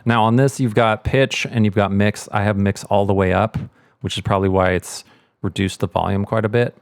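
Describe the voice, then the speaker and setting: A man with a fairly low voice walks through a software demonstration. He talks casually, thinking aloud as he goes, in a very dry recording with no room sound. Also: fairly even intonation, brisk, clean tone, no room sound. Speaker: sound mixer giving a tutorial.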